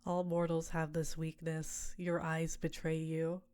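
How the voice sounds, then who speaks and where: A woman voicing an ancient succubus queen in an audio roleplay, talking slowly and sultrily with soft whispers. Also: deep voice, completely flat delivery, smooth. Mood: tender.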